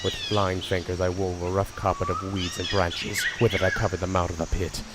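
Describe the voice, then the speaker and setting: A man's voice: low voice, flat delivery, a little fast, very noisy, lightly acted. A man acting as a hunted fugitive, narrating his desperate flight in an intense, suspenseful radio drama style. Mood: hushed suspense. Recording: loud music and insects behind voice.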